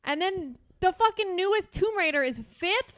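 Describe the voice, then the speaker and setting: A woman co-hosting a podcast in casual chat, wry and quick to swear. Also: very high-pitched, animated, fairly bright tone, dry recording, clean studio sound. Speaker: podcast host.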